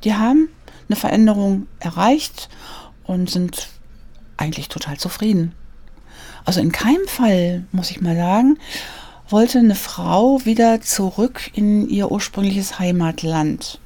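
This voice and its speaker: Woman guest in an interview, mellow warm tone, earnest and hesitant, thinks aloud. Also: medium pitch, expressive, measured pace. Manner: earnest, searching for words with many fillers. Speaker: author interviewed about portraits of immigrant women. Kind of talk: thoughtful interview answers. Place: studio, seated at a mic.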